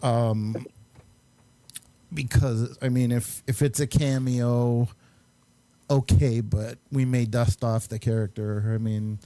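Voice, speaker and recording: A man's voice: mid-range voice, steady tone, slow, mellow. A man hosting a casual chat and reviewing movies in a rambling, hesitant way. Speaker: host and amateur filmmaker.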